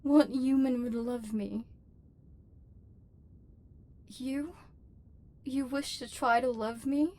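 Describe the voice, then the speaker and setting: High-voiced lonely robot overlord played by a woman. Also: steady tone, darkish tone. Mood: tender, tentative hope. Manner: formal, measured machine-like phrasing. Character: lonely, wounded female robot overlord, guarded but yearning. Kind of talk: ASMR roleplay voice acting.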